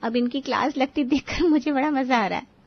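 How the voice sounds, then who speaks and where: A woman's voice: high-pitched, fairly quick, husky, no echo, dull audio. A woman playing a young, knowing and firm sister-in-law who schemes in a cartoon family story. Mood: gleeful.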